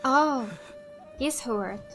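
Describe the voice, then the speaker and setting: A woman from Finland, a native Finnish speaker, giving casual let's play commentary, thinking and reacting out loud as she plays. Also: high voice, very slow. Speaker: gaming video host. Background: game music.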